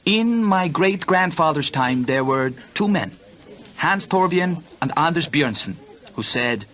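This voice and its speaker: A village innkeeper in a radio play. He is courteous yet firm and guarded, choosing words deliberately in a forceful, slightly high voice. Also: animated. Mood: guarded, ominous. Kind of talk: radio drama acting.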